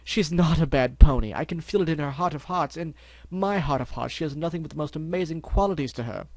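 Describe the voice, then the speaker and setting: A prissy, dramatic storybook unicorn stallion, put on by a man with a raised, lively voice that swings higher and louder as he gushes and frets. He sounds pampered and easily flustered. The voice comes across dry and close. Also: normal speed, no echo. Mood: flustered pleading. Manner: theatrical, fussy and flustered.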